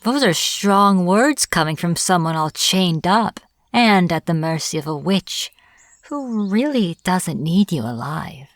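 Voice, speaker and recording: A woman playing a smug, teasing witch in an audio roleplay, taunting a captive in a playful, deliberate manner. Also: on the low side, expressive, no echo, studio quality. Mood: mocking. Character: smug teasing young-sounding witch, captor of a hunter. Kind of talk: audio roleplay, acted monologue to the listener.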